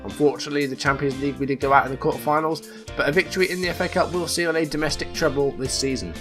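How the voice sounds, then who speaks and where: A man's voice: fairly high pitch, steady tone, very fast, music in the background. Man with a cold, casual gaming commentary. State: coming down with a cold. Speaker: YouTube gaming channel host. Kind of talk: casual let's play commentary.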